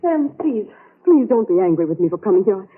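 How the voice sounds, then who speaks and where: An actress playing a frightened, pleading nurse with a breathless, imploring manner in a 1940s radio drama. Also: female voice, mid-range voice, very animated, brisk, a little hiss or hum, lightly acted. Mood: pleading, distraught. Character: frightened, pleading young female nurse under suspicion. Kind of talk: 1940s radio melodrama acting. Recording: muffled vintage radio recording.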